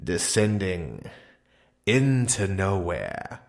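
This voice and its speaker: A man's voice: low-pitched, sing-song, very slow, gravelly. A man narrating an absurd comedic tale as a storyteller, with a theatrical, exaggerated delivery.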